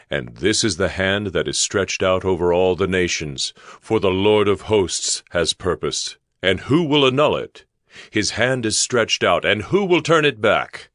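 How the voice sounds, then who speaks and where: A man's voice: deep voice, expressive, a little slow, a bit bright, no echo, studio quality. A man narrating scripture dramatically, with solemn, emphatic, declamatory delivery.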